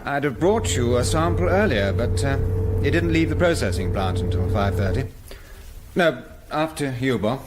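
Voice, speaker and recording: Courteous British man, lively intonation, acted. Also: medium pitch, moderate pace, background noise, close to an everyday voice. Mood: polite, guarded. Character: polite, evasive male factory colleague. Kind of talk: radio drama acting.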